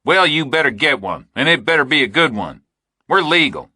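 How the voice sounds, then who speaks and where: A menacing ex-soldier turned private contractor from a thriller audiobook , acted by a male narrator. He talks in combative, clipped bursts, pitched up and forceful, with a husky edge. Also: very animated, moderate pace, dry recording, heavily acted. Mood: confrontational. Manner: combative, clipped tough talk.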